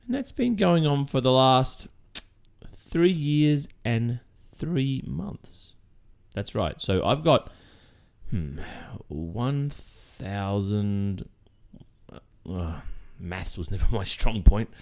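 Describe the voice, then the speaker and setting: A man with an Australian accent playing an obsessive, creepily cheerful kidnapper boyfriend in an intimate ASMR roleplay, halting and falsely reassuring, with nervous chuckles. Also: medium pitch, lively intonation, creaky, darkish tone, full character voice. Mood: besotted, creepily proud.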